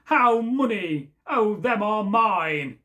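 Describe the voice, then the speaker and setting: Storybook wee boy, slow and defiant, read by a man in a raised voice. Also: sits high, animated, sharp tone, exaggerated voice. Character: young wee boy answering a stranger.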